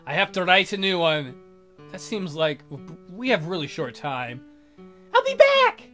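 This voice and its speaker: A man's voice: on the high side, normal speed, pushed and bright. A man hosting a let's play, reading a visual novel aloud and adding crude, irreverent asides. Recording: voice over game music. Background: game music.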